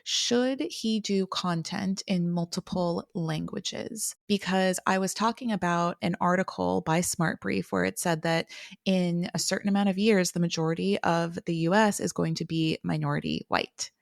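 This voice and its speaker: Candid low-voiced woman podcast co-host. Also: moderate pace, vocal fry, dry recording, studio quality. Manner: candid, energetic, swears casually. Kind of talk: casual podcast chat.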